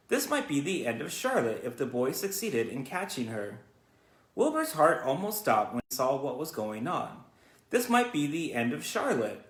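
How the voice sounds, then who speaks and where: Echoey, animated man reading a storybook. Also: mid-range voice. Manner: halting, stumbles and repeats words. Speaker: school educator reading to students. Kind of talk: read-aloud of a children's storybook. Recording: roomy, echoey sound.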